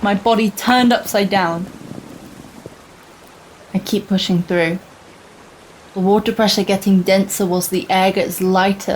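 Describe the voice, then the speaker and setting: A woman's voice: medium pitch, close to an everyday voice. Earnest young girl explorer, acted by a woman, noisy recording. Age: young adult. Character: earnest, wistful young girl explorer of a ruined future Earth. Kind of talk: scripted first-person radio play monologue.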